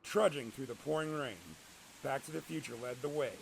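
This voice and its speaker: A man's voice: mid-range voice, lively intonation, normal speed, creaky, background noise. A man narrating a scripted story.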